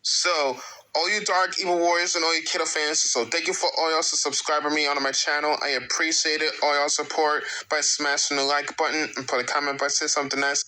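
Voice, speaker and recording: A man of about thirty talks to his viewers in halting, repetitive sentences with broken grammar. His voice is a little high and extremely bright and sharp. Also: little pitch movement, low-quality recording. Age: around 30. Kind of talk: speaking to camera in his own video.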